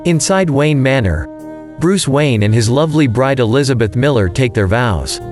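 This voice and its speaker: Animated male narrator over clear music. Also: mid-range voice, normal speed. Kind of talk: dramatic scripted narration.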